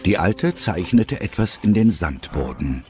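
A man's voice: low voice, very animated, measured pace, warm tone, soft background music. A man narrating an audio drama from a script.

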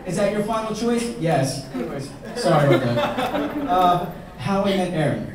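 A man's voice: sits high, big pitch swings, background noise. A young man giving a best man's toast at a wedding, reading from a page into a handheld mic and joking at his own expense. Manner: joking, self-mocking, pausing for laughs. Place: large banquet hall at a wedding, indoors. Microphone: handheld mic, filmed by a far camera. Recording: echoey hall from far off, crowd laughing.

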